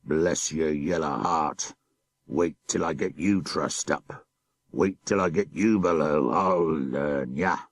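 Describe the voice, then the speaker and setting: A man's voice: a bass voice, takes it slowly, no room sound, full character voice. A man voicing a cruel, threatening old sea captain who barks orders and snarls threats. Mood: gloating.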